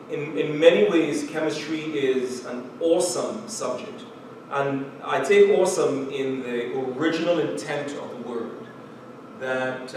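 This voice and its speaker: A middle-aged man with a Trinidadian accent speaks slowly and earnestly as a professor, often stopping on "uh" and "um" and going back over his words. He sounds distant from the mic, in a room with strong echo and a clear layer of background noise. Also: fairly high pitch. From Trinidad and Tobago. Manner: measured, earnest, with frequent "uh" and "um" and repeated words. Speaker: chemistry professor. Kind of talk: recorded university lecture.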